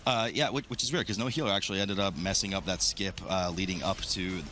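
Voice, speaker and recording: Fast-talking, low-voiced male esports commentator. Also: pushed and bright, a little hiss or hum. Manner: analytical, explanatory. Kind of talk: live play-by-play game commentary.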